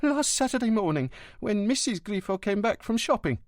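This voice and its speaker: A man's voice: high voice, big pitch swings, moderate pace, no echo, studio quality, pitched above the performer's own voice. A man voicing a frantic, gossipy, melodramatic old woman concierge in an audiobook. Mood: indignant. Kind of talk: audiobook character voice.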